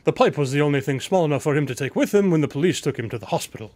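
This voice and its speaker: A man's voice: fairly high pitch, animated, racing, a little mellow, slight background noise, natural voice. A man playing a confident, articulate detective in a radio drama, formal, precise and coolly superior. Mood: assured.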